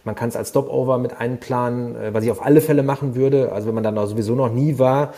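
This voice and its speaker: A man's voice: mid-range voice, steady tone, quick pace, mellow. A man co-hosting a travel podcast, chatting enthusiastically and rambling with many fillers. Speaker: podcast co-host and company founder. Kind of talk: casual podcast chat.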